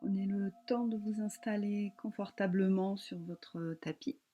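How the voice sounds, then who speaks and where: A woman yoga teacher guiding a relaxation meditation in a calm, gentle, soothing manner with long pauses. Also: medium pitch.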